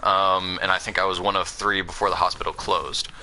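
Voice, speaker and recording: Young American guy, Southern-Northern accent, deep and crisp, rambling stream chatter over faint game noise. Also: little pitch movement, brisk, bright, forceful tone. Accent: American, mixed Southern and Northern. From Southern United States. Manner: rambling, many fillers and restarts. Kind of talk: casual chat while gaming.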